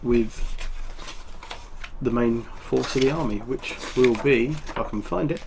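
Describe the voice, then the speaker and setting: Male host, congested from a cold, loose and rambling, plain middle pitch. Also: unhurried, vocal fry, noisy background. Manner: relaxed, rambling, full of ums. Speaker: tabletop wargaming channel host. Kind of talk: casual hobby show-and-tell.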